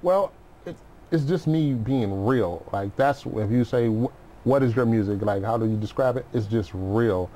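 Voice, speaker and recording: A man's voice: mid-range voice, normal speed, dark tone, a little hiss or hum. A middle-aged man speaking as an interview guest, chatting casually and informally with slangy storytelling. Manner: informal, slangy storytelling, often says you know what I mean. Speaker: interview guest, music producer. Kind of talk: casual chat.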